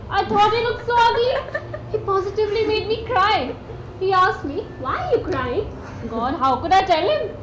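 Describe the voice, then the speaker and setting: A woman playing a gentle motherly elder sister. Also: extremely high pitch. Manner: soothing, motherly advice. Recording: echoey stage audio, faint hum.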